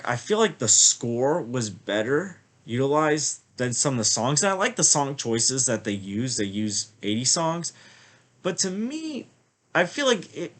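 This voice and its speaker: A man's voice: medium pitch, a bit bright. Sniffly man rambling a film review. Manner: rambling, many "like" and "I feel like" fillers. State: slightly congested, sniffling from a cold. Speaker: movie reviewer. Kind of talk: casual spoken film review.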